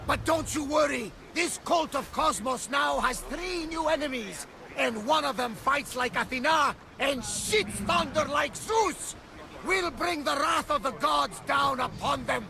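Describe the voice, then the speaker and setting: An actor playing a boisterous, devout old ship captain who talks loudly and exuberantly in a game cutscene, with crowd sounds behind him. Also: male voice, very high voice, a little slow, gravelly, exaggerated voice. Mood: rousing. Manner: loud, exuberant, swears by the gods.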